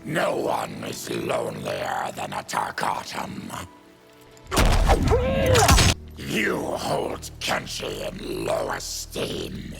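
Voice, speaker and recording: Gravelly, abyss-deep fanged mutant warrior. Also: male voice, wildly swooping pitch, slow pace, hardly any pauses, exaggerated voice. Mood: grim. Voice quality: guttural, gravelly growl. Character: diseased, fanged, bitter male mutant warrior creature. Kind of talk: video game voice acting. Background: loud music.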